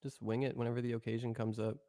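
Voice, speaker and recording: A man's voice: medium pitch, little pitch movement, very fast, mellow, no room sound, clean studio sound. A man from Minnesota with a mild Minnesotan accent, co-hosting a casual chat in a laid-back, self-deprecating way. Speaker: podcast co-host.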